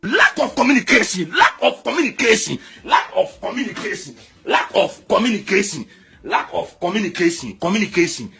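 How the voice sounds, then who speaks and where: Male voice in a played clip, high and swooping, repetitive chant. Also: very animated, a little fast, vocal fry, pushed and bright, faint music behind.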